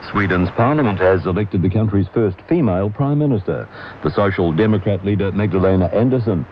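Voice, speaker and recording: Deep-voiced New newsreader over shortwave static. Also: male voice, animated. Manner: measured, formal news delivery. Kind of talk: scripted radio news bulletin. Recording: noisy, muffled shortwave radio reception.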